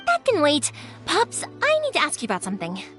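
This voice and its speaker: A woman playing a cheerful, headstrong, kind young woman in a video game, chatty and expressive in a casual, playful way. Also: sits high, fairly quick, music in the background. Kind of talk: animated video game dialogue acting.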